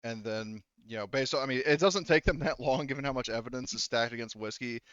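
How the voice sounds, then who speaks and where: A man runs a tabletop game in a loose, informal, sweary ramble. His mid-pitched voice is clean and resonant. The sound is close and dry, a little muffled. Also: fast, fairly bright tone, clean tone, no echo. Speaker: game master. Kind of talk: casual tabletop game narration.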